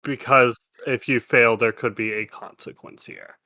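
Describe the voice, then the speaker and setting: Bright-voiced man running a game. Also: mid-range voice, steady tone, unhurried, no echo. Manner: informal, bantering with players. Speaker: game master. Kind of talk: improvised tabletop game narration, casual chat.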